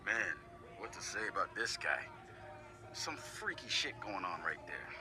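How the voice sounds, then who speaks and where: A man's voice: fairly low pitch, sing-song, slow, noisy background. An actor playing a laid-back young male hacker who sizes up his teammates in a game audio log, talking casually with profane, wry asides. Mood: candid. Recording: clear music behind the voice.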